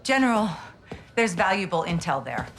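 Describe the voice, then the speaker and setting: An actress playing a composed, determined American intelligence officer in a war game, speaking in a clipped, serious, matter-of-fact way. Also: female voice, mid-range voice, big pitch swings, normal speed. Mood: firm, determined. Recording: loud music behind the voice.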